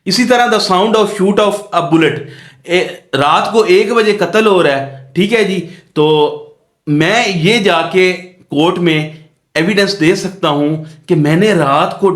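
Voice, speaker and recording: Male law tutor, unhurried and didactic delivery with English terms mixed in, roomy echoing recording. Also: sits high. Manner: didactic, repeats phrases and asks rhetorical check questions. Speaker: law lecturer. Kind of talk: explanatory lecture mixing in English legal terms. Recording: echoey, roomy sound.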